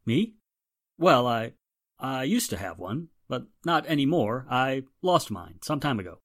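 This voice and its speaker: A woman's voice: a bass voice, sing-song, unhurried, exaggerated voice. A woman playing a flustered, evasive male murder suspect, stammering, in a vintage-style radio drama. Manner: stammering, hesitant. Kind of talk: 1940s radio drama acting.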